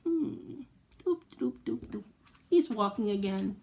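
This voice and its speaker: A woman's voice: medium pitch, lively intonation, slow, vocal fry, darkish tone. A woman reading a picture book aloud to young children, expressively, with playful asides, questions and little sound effects. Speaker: children's storyteller.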